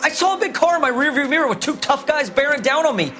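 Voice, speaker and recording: An indignant american crook suspect, high pitched n very up and down, protesting, music behind. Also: male voice, expressive, quick pace, pushed and bright, exaggerated voice. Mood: defensive. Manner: protesting, defensive. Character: indignant, cornered male car-theft suspect, defensive. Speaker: voice actor in a detective game. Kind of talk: dramatic game cutscene acting.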